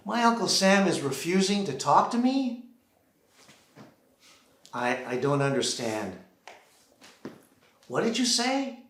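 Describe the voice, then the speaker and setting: An American man performing a theatrical solo monologue, deliberately acting out one side of a phone call on a theater stage. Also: high voice, fairly bright tone. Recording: roomy, echoing live theater sound.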